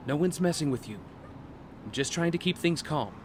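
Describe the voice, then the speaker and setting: Man playing a steady father amid street noise. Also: on the high side, moderate pace, natural voice. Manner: soothing, steady. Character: calm, reassuring, protective family father. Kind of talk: audio drama acting. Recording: noisy city street ambience.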